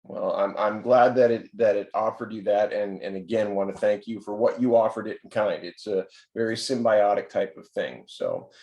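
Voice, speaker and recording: A man with a fairly low voice interviews a participant over a video call. He rambles and circles back with many fillers and restarts. His voice carries a roomy echo. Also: normal speed, vocal fry, hardly any pauses, darkish tone, lo-fi sound. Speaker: program facilitator and interviewer. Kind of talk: conversational interview.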